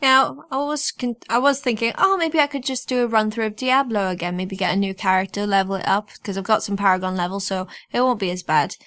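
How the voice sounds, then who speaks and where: A woman hosts a chatty podcast with a wry, unpolished delivery that pauses and restarts as she thinks. Her voice is recorded dry, with very little room around it, and sits at an ordinary pitch. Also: a bit bright, no room sound. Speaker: podcast host. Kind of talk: casual group chat.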